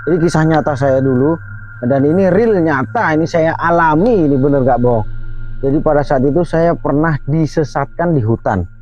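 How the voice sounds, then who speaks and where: A man's voice: a little high, normal speed, darkish tone, a little hiss or hum. A man hosting a night outing, earnestly telling a true story with emphatic, filler-heavy delivery outdoors at night. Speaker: host. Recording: lo-fi with faint music.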